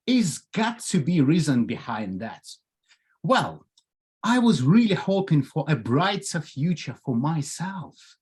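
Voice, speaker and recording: A middle-aged man with a thick Ukrainian accent delivers a jokey speech over a video call. His pitch swings widely, he takes his time, and his voice has a slightly husky edge. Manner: jokey, lively storytelling with pauses. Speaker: club speaker. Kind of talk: humorous prepared speech.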